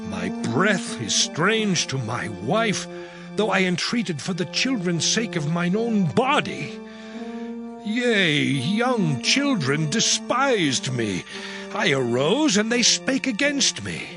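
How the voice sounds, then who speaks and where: An actor playing an anguished, suffering man who pleads his innocence in an impassioned, deliberate scripture drama. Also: sits high, sing-song, music in the background, full character voice. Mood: anguished pleading.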